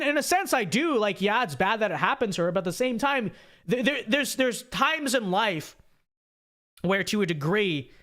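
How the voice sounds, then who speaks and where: A man's voice: sits high, fairly bright tone. Opinionated male streamer, scratchy sore voice. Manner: opinionated, repeats himself, many restarts. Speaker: livestream host. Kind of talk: casual livestream commentary and super chat reading.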